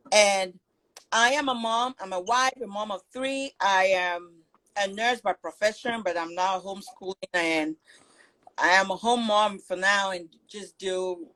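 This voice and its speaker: A woman's voice: medium pitch, a little slow, a bit bright, rough recording. A middle-aged woman from Cameroon, a business founder talking earnestly and at length as a guest on a live video call. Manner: earnest, talkative, with restarts.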